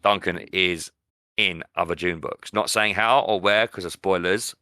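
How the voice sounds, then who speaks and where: Deep-voiced British man, bright and dry. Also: a little slow, no room sound. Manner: opinionated, sweary, blunt. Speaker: podcast co-host. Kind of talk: casual film discussion.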